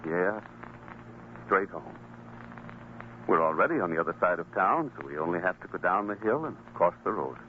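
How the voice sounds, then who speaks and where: A man's voice: deep voice, expressive, normal speed, long pauses. A man acting a hesitant, earnest middle-aged father in an old-time radio drama. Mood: relieved, reflective. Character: troubled father with a gambling weakness, excitable yet merciful. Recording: tinny, noisy old radio recording.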